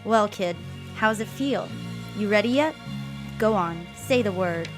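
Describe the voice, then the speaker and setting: A woman's voice: medium pitch, animated, measured pace, soft background music, close to an everyday voice. A woman playing a sadistic gangster in a noir radio drama, menacing and taunting with slangy talk.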